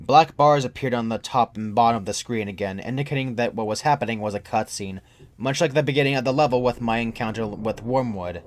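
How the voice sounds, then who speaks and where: A man's voice: mid-range voice, brisk. A young adult man reading a scripted first-person creepypasta as its narrator. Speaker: horror story narrator.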